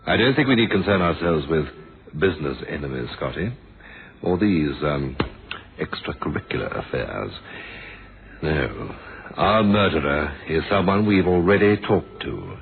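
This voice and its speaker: An actor playing a measured, dryly thoughtful police inspector in a radio drama, polite and understated in manner. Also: male voice, a bass voice, sing-song, gravelly, heavily acted. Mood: grave, businesslike. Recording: dull, hissy old radio recording.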